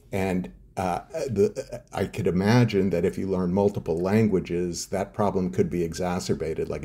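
Man, middle-aged, low-pitched, slightly husky, halting explainer, frequent fillers. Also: dark tone. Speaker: neuroscientist guest. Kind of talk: interview answers.

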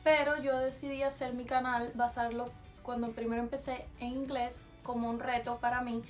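A young woman speaks in a chatty Cuban Spanish accent and sits slightly high in her range. She talks casually to a camera at desk distance in a small bedroom and drops English words in here and there. Soft music plays faintly underneath. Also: flat delivery, normal speed. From Cuba. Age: young adult. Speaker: vlogger. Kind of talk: casual vlog, taste test.

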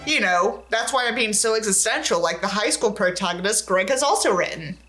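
A woman's voice: medium pitch, animated, brisk, bright, forceful tone, soft background music. A young adult woman reading a book aloud dramatically and breaking in with sarcastic, exasperated asides. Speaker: video creator reading a book aloud with commentary.

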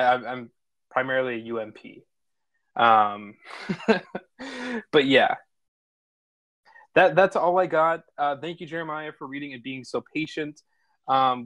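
Man, stream host, casual feedback chat, enthusiastic, rambling, medium pitch, dry sound. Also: a bit bright, dry recording, poor audio. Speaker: host and narrator of online script table reads.

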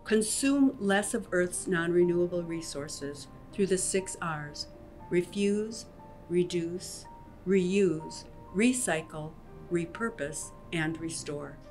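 Older woman, American accent, lowish pitch, measured formal reading, guitar music underneath. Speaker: religious sister. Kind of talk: scripted reading of a formal statement. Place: bright indoor room with large windows. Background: soft guitar music.

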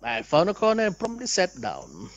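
A young man reads visual novel narration aloud as a let's player, deliberate and storybook-like, with casual asides. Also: high voice, huge pitch swings, dry recording.

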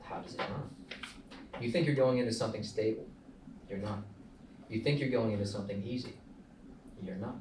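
A man acting as a brash young male startup founder, insistent and preachy, in a fairly low voice. It is a film table read, picked up from a distance in an echoey room. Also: brisk, pauses often, darkish tone, close to an everyday voice. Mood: urgent. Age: young adult. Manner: insistent, preachy salesman delivery. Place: small meeting room, indoors. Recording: roomy, distant mic, faint noise.

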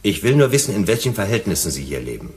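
Insistent soothing negotiator guy, sci-fi radio play, deep voice that swings around, big hall reverb. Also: very animated, fast. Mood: calm, probing. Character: probing, persuasive male negotiator on a Venus colony. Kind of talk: German radio play acting.

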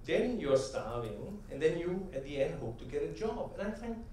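A middle-aged man giving an animated keynote talk on stage with a headset mic, telling stories and asking rhetorical questions. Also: a little high, normal speed, slight background noise. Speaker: conference speaker. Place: large conference hall stage. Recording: echoey hall, heard from a distance.

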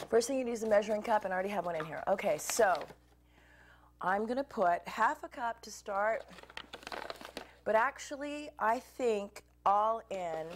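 A woman in her forties hosting a cooking show, talking casually to camera as she mixes pizza dough in a kitchen. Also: mid-range voice. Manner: chatty and relaxed, talks while mixing dough. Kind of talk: demonstrating a recipe to camera. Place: home kitchen set, indoors.

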